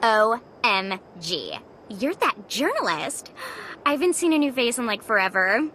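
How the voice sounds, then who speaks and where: A woman's voice: on the high side, very animated, measured pace, sharp tone, a little hiss or hum, full character voice. A woman voicing a snarky, gossipy teenage creature in a video game, with an exaggerated American valley-girl drawl. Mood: gushing, nosy. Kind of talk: cartoonish video game character acting.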